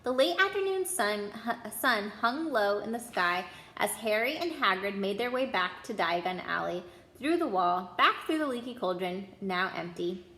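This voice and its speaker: A woman storyteller reading a children's storybook aloud in expressive narration. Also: medium pitch, moderate pace, roomy sound.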